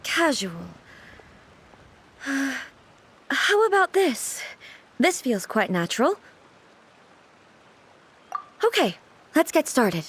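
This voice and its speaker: A woman playing a dignified, reflective deity and ruler in a video game, speaking formally and earnestly in a measured way. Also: high voice, very animated, a bit bright, a little hiss or hum, full character voice. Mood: awkward. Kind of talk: video game character acting.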